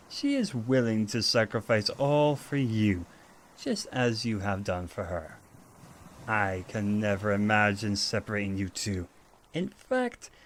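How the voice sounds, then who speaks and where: A man's voice: fairly low pitch, a little hiss or hum. A man playing a playful, affectionate archangel big brother in an audio drama, with a theatrical, teasing manner. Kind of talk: audio roleplay drama acting.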